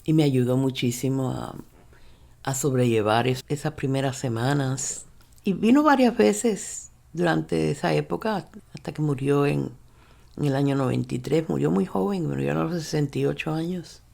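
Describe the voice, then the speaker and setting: A woman's voice: deep voice, normal speed, vocal fry. An older woman from Cuba, telling her life story in an interview, often restarting words and slipping in English phrases. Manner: animated when retelling, many restarts, mixes in English phrases. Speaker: interviewee, university professor. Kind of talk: reflective personal storytelling.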